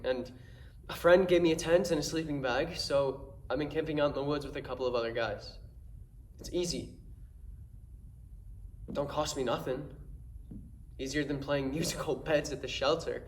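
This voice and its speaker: A man with an American accent playing a troubled, angry homeless young man in a halting, colloquial stage monologue. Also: on the high side, slight background noise, natural voice.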